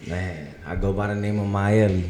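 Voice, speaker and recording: A man's voice: low voice, moderate pace, very noisy. A man who grew up in Samoa and New Zealand, guest on a casual podcast chat, laid-back and laughing easily, full of "you know what I mean". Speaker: guest singer-songwriter.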